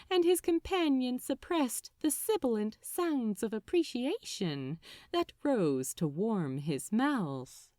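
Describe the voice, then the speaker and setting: A woman reading fiction aloud as an expressive storybook narrator, with theatrical, deliberate phrasing. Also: a little high, no room sound, clean studio sound.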